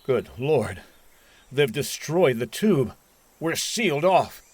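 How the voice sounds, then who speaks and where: Man playing a commanding, lively-pitched army colonel. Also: slow, a little hiss or hum. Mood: shocked. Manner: barking, authoritative. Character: hard-line, commanding army colonel, ruthless and suspicious. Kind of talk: old-time radio sci-fi drama acting.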